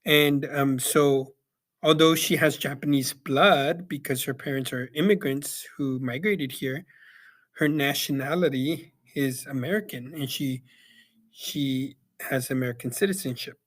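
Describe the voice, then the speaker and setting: A young man from El Salvador giving a casual spoken book review, pausing often with ums and restarting his sentences. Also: mid-range voice, fairly bright tone. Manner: hesitant, many ums and restarts. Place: Yakima, Washington.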